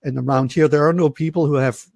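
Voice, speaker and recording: A man's voice: a little high, fairly even intonation, fast, gravelly, darkish tone, no room sound, clean studio sound. A man from Germany hosting a webinar over a video call, talking casually in rambling, self-interrupting sentences. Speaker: host.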